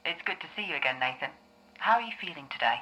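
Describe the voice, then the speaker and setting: Actress as bright-voiced, composed therapist on tape. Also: female voice, low-pitched, animated, rough recording. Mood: reassuring. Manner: deliberate, soothing, instructive. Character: composed, encouraging female therapist on old tape. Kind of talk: audio drama acting as taped session.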